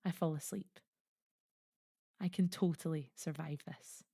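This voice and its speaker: Audio play: actress as lyrical, reminiscing immigrant mother, lowish pitch, dry close sound. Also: steady tone, a little slow, creaky, dark tone, slightly rough, no echo, full character voice. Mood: fond, wistful. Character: reflective Estonian immigrant mother fond of winter.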